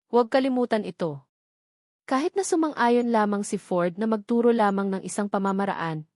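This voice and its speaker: A woman's voice: medium pitch, darkish tone, no echo, studio quality. A woman with a Filipino accent reading scripted novel narration as an audiobook narrator.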